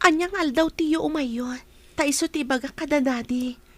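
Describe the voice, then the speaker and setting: A woman's voice: high-pitched, moderate pace, a bit bright, no echo. An actress with an Ilocano Filipino accent playing a sweet young woman being courted in a radio drama. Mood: agreeable.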